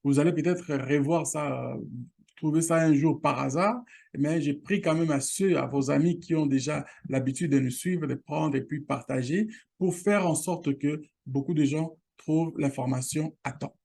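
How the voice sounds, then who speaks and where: A man whose first language is Lingala, hosting a casual screen-share tutorial, halting and restarting often, speaking straight to his viewers. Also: on the high side, gravelly, darkish tone. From Congo region, Lingala first language. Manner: halting, with many restarts and fillers; direct appeals to viewers. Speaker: online course channel host.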